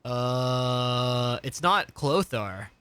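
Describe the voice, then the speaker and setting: A man's voice: medium pitch, lively intonation, very slow, fairly bright tone, clean tone, dry recording. A man streaming a video game, giving casual live commentary and questioning things aloud as he plays. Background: game music.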